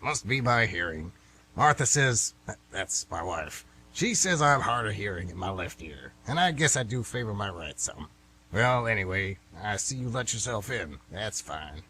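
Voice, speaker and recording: A man's voice: on the low side, big pitch swings, normal speed, sharp tone, a little hiss or hum. A man playing a grumpy, rambling old retired man who is hard of hearing, in an amateur radio play. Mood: chatty.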